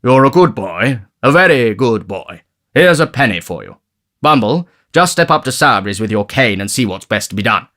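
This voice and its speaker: A man reading aloud, voicing a smug, condescending gentleman official who speaks with a benign smile. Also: medium pitch, big pitch swings, moderate pace. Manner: smiling and condescending.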